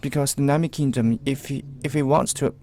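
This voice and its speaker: A man giving spontaneous spoken commentary on a live tour, haltingly repeating and rephrasing as he goes. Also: medium pitch, fairly even intonation, creaky, darkish tone, slight background noise.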